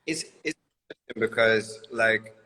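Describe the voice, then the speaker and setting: A man's voice: on the low side, moderate pace, sharp tone, slight background noise, rough recording. A man from the UK, a guest on a livestream, explaining a serious issue in an earnest way. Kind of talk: casual livestream conversation. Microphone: phone livestream.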